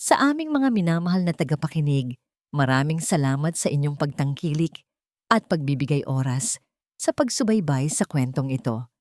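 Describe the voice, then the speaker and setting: A woman with a low-pitched voice reads a sentimental drama as its narrator. Her delivery is earnest, solemn and measured. The sound is dry, with no room around it. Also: sing-song, darkish tone, dry recording. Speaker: story narrator and channel host.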